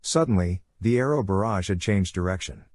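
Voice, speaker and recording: A man's voice: low voice, expressive, a little fast, darkish tone, no room sound. A man narrating a first-person adventure novel in a conversational, punchy, dramatic way. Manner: conversational, punchy short phrases, acts out sound effects. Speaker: audiobook narrator.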